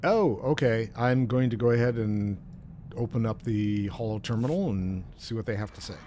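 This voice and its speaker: A man's voice: mid-range voice, normal speed, mellow. A man chatting casually as he plays a video game on a live stream, narrating what he does. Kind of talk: casual live gameplay chat. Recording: stream with clear background noise.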